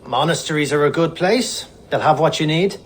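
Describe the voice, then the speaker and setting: An actor playing an obliging, plain-spoken fur trapper and trader in a historical video game, with a matter-of-fact manner. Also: male voice, fairly high pitch, moderate pace, slight background noise, lightly acted. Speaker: game character, trading post worker. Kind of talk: video game cutscene acting.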